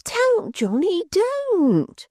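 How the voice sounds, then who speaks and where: A woman's voice: high-pitched, big pitch swings, a little slow, mellow, dry recording, studio quality, pitched above the performer's own voice. A woman voicing a little, weepy, tender-hearted male church clerk who whimpers and pleads. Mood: pleading.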